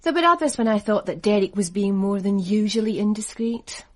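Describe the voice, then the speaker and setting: A woman's voice: medium pitch, a little fast, lightly acted. An actress playing a cool, calculating businesswoman in a radio drama, guarded and seductive.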